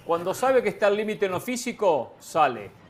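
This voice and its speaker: A man's voice: high-pitched, sing-song, normal speed, crowd noise. A man on a sports talk panel who argues emphatically and bluntly in a heated debate.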